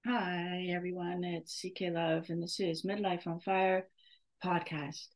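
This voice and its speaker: A middle-aged woman gives an unscripted podcast introduction. Her voice sits slightly low and stays quite flat. She speaks slowly and haltingly, often pausing to find the next word. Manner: hesitant, halting, searching for words. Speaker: podcast host. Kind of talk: off-the-cuff solo podcast introduction.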